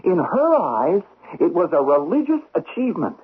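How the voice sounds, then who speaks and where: An actor playing an impulsive, stubborn investigator, agitated and insistent in a theatrical radio drama style. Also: male voice, sits high, big pitch swings, moderate pace, breathy edge, exaggerated voice. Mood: insistent, heated. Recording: dull 1970s radio drama recording.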